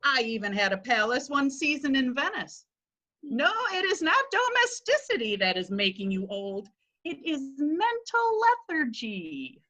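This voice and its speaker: A woman plays a brisk, worldly lady who lectures her friends and shrugs off men. Her voice is high and very lively, and it swoops as she talks. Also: lo-fi sound, full character voice. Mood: lecturing. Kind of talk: readers theatre play reading.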